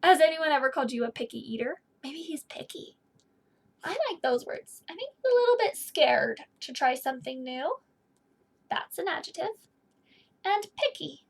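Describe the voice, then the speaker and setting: A woman's voice: sits high, expressive, normal speed, gravelly. A woman in her thirties teaching a read-aloud lesson, explaining and putting questions directly to young children. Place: small indoor room with bookshelf.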